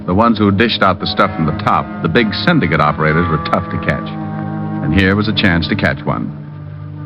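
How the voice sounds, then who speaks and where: An actor playing a tough, clipped private detective in an old-time radio drama, narrating and pressing suspects. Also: male voice, lowish voice, lightly acted. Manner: clipped, hard-boiled, pressing. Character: brisk, hard-boiled private detective narrator, man, tough and wry. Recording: dull vintage radio recording.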